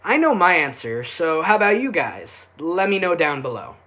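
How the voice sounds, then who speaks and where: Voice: medium pitch, big pitch swings, normal speed, pushed and bright. A reviewer giving a casual, sarcastic recap with irreverent, sweary delivery. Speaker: YouTube anime reviewer.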